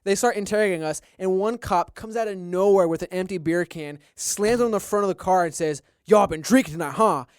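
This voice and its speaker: A young man with a high, smooth voice tells a story on a casual podcast. He rambles, restarts his sentences and quotes what he said back then. The sound is dry and close. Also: brisk, hardly any pauses, dry recording. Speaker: podcast co-host.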